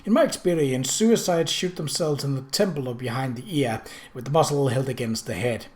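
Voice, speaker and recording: A man's voice: medium pitch, a little fast, a little mellow, lightly acted. Man acting a formal, clinical male surgeon. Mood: authoritative. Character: male police surgeon, formal, professional, slightly gruff. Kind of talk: audiobook character dialogue.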